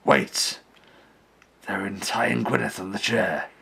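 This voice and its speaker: A man's voice: on the low side, expressive, slow, gravelly, a bit bright, exaggerated voice. An actor playing a pious, horrified father in an old-time radio play, speaking in melodramatic exclamations. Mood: hushed horror. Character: pious horrified father, narrating, then frantic.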